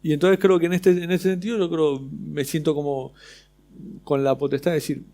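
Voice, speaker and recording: A man's voice: fairly high pitch, level tone, moderate pace, mellow. A man with an Argentine accent speaking on an illustrators' panel, hesitant, with many restarts. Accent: Argentine Spanish. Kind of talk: casual panel discussion.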